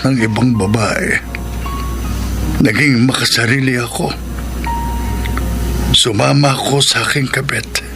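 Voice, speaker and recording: A sorry old filipino lolo begging forgiveness, draggy slow and emotional, radio drama with music behind. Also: male voice, medium pitch, fairly even intonation, gravelly. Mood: remorseful, tearful. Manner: pleading, halting, emotional. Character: remorseful old grandfather, tearfully begging forgiveness.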